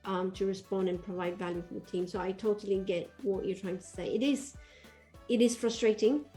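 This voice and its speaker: Mellow-voiced woman host on a video call. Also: mid-range voice, steady tone, brisk, faint music behind. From Malaysia. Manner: thoughtful, reassuring, reflective. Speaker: podcast host. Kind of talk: casual video-call interview. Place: home room.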